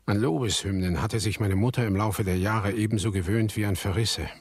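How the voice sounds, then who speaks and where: A melancholy son telling his family's story in a radio play. His voice is low and husky, recorded close and dry, with a scripted narrator's measured delivery. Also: fairly even intonation, no room sound. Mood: sober, wry.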